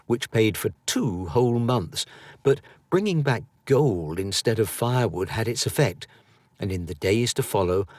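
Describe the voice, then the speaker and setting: A British man narrating an audiobook story in an expressive, scripted reading style. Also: on the low side, dry recording.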